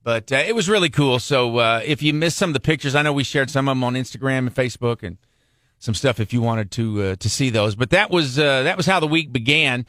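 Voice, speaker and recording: A man's voice: mid-range voice, brisk, fairly bright tone, no room sound, studio quality. A man from Missouri co-hosting a radio morning show, chatty and upbeat, now and then singing a phrase.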